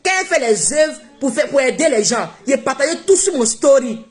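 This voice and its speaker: A woman's voice: high-pitched, sing-song, a little fast, faint music behind. A woman whose first language is not French, speaking emphatically and repeating herself in a casual live-stream monologue on her phone. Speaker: social media live streamer.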